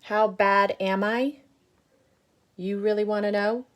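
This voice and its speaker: A grumpy little male seed from a picture book, acted out by a woman, confesses his badness with some pride. The delivery is slow and emphatic, stretching out the key words. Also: medium pitch, steady tone, smooth, pitched above the performer's own voice, close to an everyday voice. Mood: defiant, boastful. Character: boastful, grumpy little male sunflower seed, self-declared bad. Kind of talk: picture-book character read aloud.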